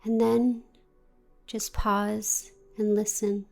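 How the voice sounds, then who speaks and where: A woman guiding a meditation in a gentle, deliberate, soothing manner with long pauses. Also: medium pitch, level tone, creaky, faint music behind. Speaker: yoga nidra meditation guide. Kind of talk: guided meditation, scripted narration.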